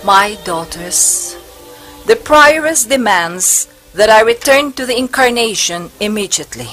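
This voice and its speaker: A woman playing a devout, steadfast nun in an amateur stage play, motherly but firm, speaking in a solemn, deliberate manner. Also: a little high, expressive, a bit bright, soft background music. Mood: solemn encouragement.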